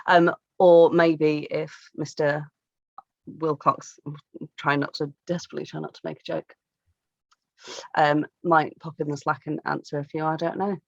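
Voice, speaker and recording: A woman's voice: low-pitched, level tone, unhurried, vocal fry, darkish tone, no room sound. A woman co-hosting a podcast in casual chat, wry and playful, often interrupting herself, on a muffled recording. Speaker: podcast co-host moderating questions.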